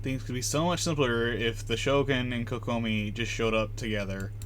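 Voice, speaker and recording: A man's voice: mid-range voice, steady tone, normal speed, slight background noise. A man giving offhand, joking live commentary as he streams a video game. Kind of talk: casual live gameplay commentary.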